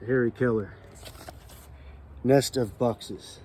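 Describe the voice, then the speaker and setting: A man hosting his own channel, reading magic history from a book aloud in a calm, relaxed manner. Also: medium pitch, slow, a little hiss or hum. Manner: calm, relaxed delivery meant to soothe. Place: California.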